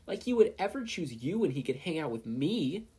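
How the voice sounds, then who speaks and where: A brash little preschool boy , as an audiobook narrator acts him out, full of scorn and indignation. The voice is pushed high and loud, husky at the edges, with a bragging, shouty delivery. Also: big pitch swings, moderate pace. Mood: scornful, angry.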